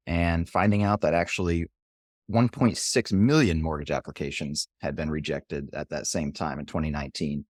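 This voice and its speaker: A man's voice: deep voice, no echo, studio quality. A man hosting an interview podcast, reading the guest's bio and then chatting in an upbeat, encouraging way. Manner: upbeat, encouraging, casual fillers. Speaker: podcast host.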